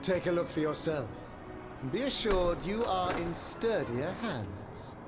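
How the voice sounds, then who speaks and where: A man's voice: sits high, sing-song, takes it slowly, full character voice. An imperious, scheming French king over music. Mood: grave, commanding. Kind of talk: video game cutscene acting. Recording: music behind the voice.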